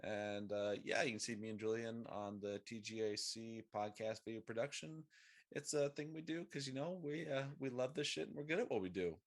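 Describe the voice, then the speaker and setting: A man's voice: fairly low pitch, a little fast. A man playing in a tabletop game, chatting casually in a laid-back, joking way. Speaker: player. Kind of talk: casual chat, plugging his socials.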